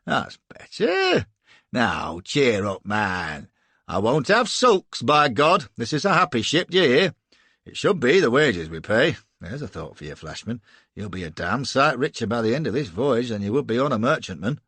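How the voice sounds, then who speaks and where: A man voicing a cold, menacing middle-aged slave-ship captain in an audiobook, quietly threatening and commanding. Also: medium pitch, sing-song, normal speed, slightly rough, no echo, heavily acted. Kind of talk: audiobook character voice.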